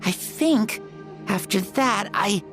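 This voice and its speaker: A woman's voice: medium pitch, expressive, takes it slowly, soft background music. A woman voicing an earnest, wide-eyed young child in a video game, with hesitant delivery. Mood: hesitant, dazed. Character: earnest, wonder-struck young child villager.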